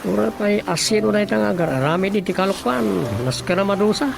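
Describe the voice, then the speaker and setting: A man's voice: sits high, brisk, creaky. A man with a Filipino accent playing a dutiful village councilor in a radio drama. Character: dutiful village councilor reporting to his chief. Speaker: actor. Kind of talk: Ilocano radio drama acting. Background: music.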